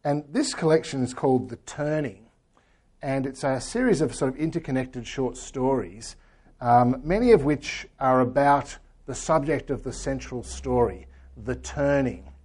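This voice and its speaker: A hoarse man speaking to a gathering in an earnest, steady way. His voice sits at an ordinary pitch, and his sentences tend to fade off quietly. He sounds a little worn and croaky throughout. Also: a little slow. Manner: earnest, often trailing off quietly. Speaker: speaker at a church talk. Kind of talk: talk with book reading.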